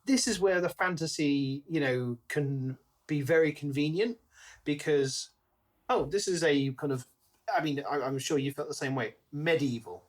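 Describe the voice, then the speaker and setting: A man's voice: a little high, normal speed. British man chatting about books. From Britain. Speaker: podcast co-host. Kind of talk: casual book discussion.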